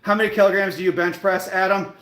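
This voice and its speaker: A man's voice: high-pitched, fairly even intonation, fast, a bit bright. An American man in his late thirties chatting casually to livestream viewers during a workout, with a motivational, repetitive way of talking. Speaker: fitness live streamer. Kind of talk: casual livestream chat while working out. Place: indoor gym. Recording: echoey room.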